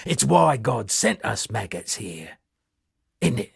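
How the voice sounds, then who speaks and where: A shipwrecked sea captain for a fantasy game, a roguish would-be poet. The voice is heavily creaky and very raspy, swooping expressively through wry, theatrical storytelling. It is recorded close and dry. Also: male voice, medium pitch, very animated, slow, dry recording, heavily acted. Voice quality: gravelly, husky. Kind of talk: video game character dialogue.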